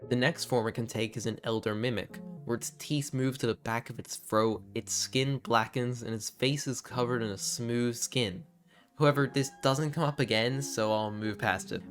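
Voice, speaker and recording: A man narrating a scripted video essay in an expressive, informal and confiding way, with casual asides. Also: medium pitch.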